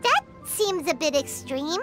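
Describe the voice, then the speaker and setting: An extremely high, childlike little female fairy from a video game. Her squeaky voice bounces around in pitch with naive curiosity and takes its time. Loud music sits behind it. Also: sing-song, measured pace, full character voice. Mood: surprised, puzzled. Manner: third-person self-reference, hesitant, inquisitive.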